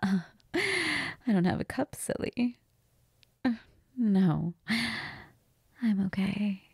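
Woman playing a breathy, flirtatious vampire. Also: mid-range voice, sing-song, no room sound, clean studio sound, heavily acted. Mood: seductive. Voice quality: breathy, half-whispered.

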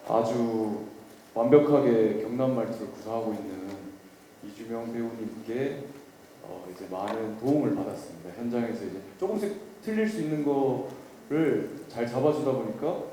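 A mellow-toned man talks at a press event, easygoing and a bit self-deprecating. His voice rings through a large echoey hall, with faint noise behind it. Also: medium pitch, expressive, moderate pace. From Daegu, South Korea. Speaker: lead actor at a press event. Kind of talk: answering press questions.

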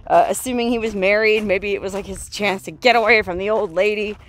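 A woman's voice: medium pitch, steady tone, fairly quick. A woman in her forties, filming herself on a phone while exploring an old mine and a desert canyon, talking casually with awed exclamations and laughs. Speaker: solo explorer vlogger. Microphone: handheld phone at arm's length.

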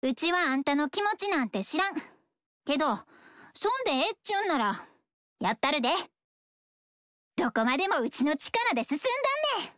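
An actress voicing a blunt, carefree young shrine maiden who talks with a Kansai accent. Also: female voice, sits high, very animated, brisk, long pauses, fairly bright tone, no room sound, clean studio sound. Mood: grudging. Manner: blunt, teasing, dismissive. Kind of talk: game voice acting.

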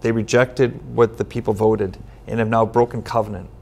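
A middle-aged man from Minnesota, a United Methodist pastor, giving steady, earnest answers in a studio interview, with frequent "um" fillers. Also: on the low side, normal speed, creaky. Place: studio set with bookshelf backdrop, seated at table.

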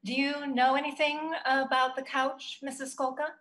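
An actress playing a stern FBI agent in a comedy table read, with a dry, businesslike manner. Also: female voice, sits high, flat delivery, moderate pace, a bit bright. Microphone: video call.

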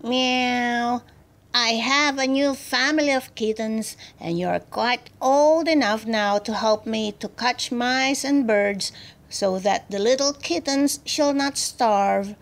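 A woman's voice: a little high, slow pace. A woman voicing a cross, cruel old mother cat in a storybook, bossy, growling and meowing. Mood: demanding.

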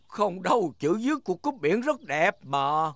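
A man's voice: sits high, sing-song, normal speed, a bit bright, no room sound. A man voicing a jolly, patient old grandfather pig in a children's cartoon dub.

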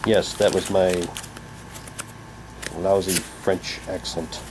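A man's voice: deep voice, level tone, slow, noisy background. A man casually commenting and reading documents aloud as he presents an unboxing. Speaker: unboxing vlogger.

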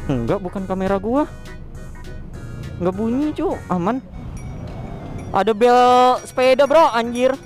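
Excitable young man. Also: very high-pitched, a little slow, pauses often, music in the background. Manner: excitable, slangy, exclaims often. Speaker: motovlogger. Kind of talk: casual riding vlog chat. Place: on a motorbike on city streets at night.

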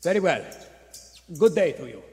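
A man's voice: a little high, sing-song, normal speed, warm tone. A man playing a nervous, curt conspirator, speaking tersely in a live radio play. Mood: uneasy. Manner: terse, uneasy. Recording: echoey theater hall.

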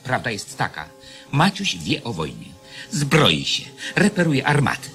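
Forceful, haughty king. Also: mid-range voice, huge pitch swings, measured pace, vocal fry, soft background music, exaggerated voice. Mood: angry, demanding. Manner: mocking, imperious. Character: haughty, impatient, scornful enemy king. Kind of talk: radio play acting.

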